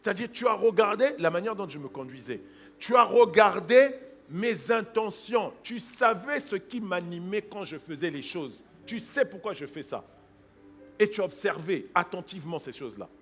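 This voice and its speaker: A man preaching a sermon as pastor. He swings from hushed asides to loud exhortation, acts out people's excuses in quoted voices and breaks into praying in tongues. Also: sits high, expressive, normal speed, faint music behind.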